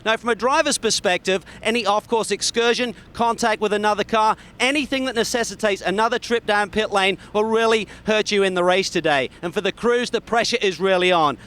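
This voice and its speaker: A man narrating a scripted voice-over that walks through a race circuit corner by corner. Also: high voice. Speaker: race analyst. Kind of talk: scripted track description voice-over.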